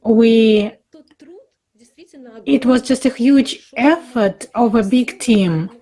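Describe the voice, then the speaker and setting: A woman speaking informally in a panel talk, in a halting, effusive way. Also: a little high, dark tone.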